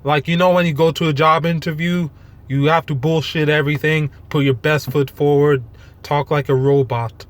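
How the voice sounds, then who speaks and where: A man with a slightly high voice talks off the cuff to his followers. His delivery is monotone and halting, with thoughts loosely strung together. He is blunt, self-deprecating and casual about swearing. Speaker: fitness vlogger. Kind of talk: unscripted rant to followers.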